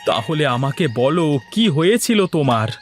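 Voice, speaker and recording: A man's voice: on the high side, big pitch swings, moderate pace, soft background music. A man playing an earnest, anxious young village husband in a melodramatic cartoon. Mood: accusing.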